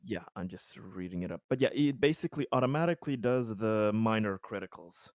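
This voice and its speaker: A man's voice: lowish voice, expressive, brisk, darkish tone, no room sound, studio quality. A man playing a tabletop game, casually talking through the rules as a player.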